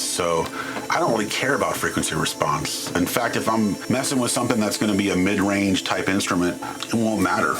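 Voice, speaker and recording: A man narrating a hands-on electronics teardown in an informal, wry, self-deprecating and mildly crude way. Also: fairly low pitch. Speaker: host of an electronics teardown channel. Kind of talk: casual hands-on tutorial narration.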